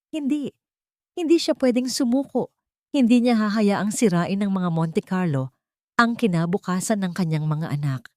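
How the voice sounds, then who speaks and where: A Filipino woman reading a scripted love story in a measured, dramatic, sentimental way. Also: lowish voice, warm tone, no room sound, clean studio sound.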